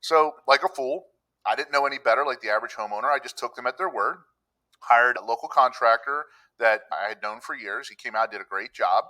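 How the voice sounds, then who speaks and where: Man, middle-aged, from Pennsylvania, bright tone, easygoing storyteller, podcast guest. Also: medium pitch, a little fast, low-quality recording. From Pennsylvania, USA. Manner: easygoing storytelling, acts out quoted voices. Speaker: public adjuster, podcast guest. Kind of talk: conversational interview. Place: room with a countryside backdrop.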